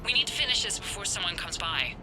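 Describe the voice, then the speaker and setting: An actress playing a practical, nervy infiltration partner in a video game, speaking with urgency. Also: female voice, medium pitch, sing-song, quick pace, background noise, lightly acted. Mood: tense. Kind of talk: cinematic video game dialogue.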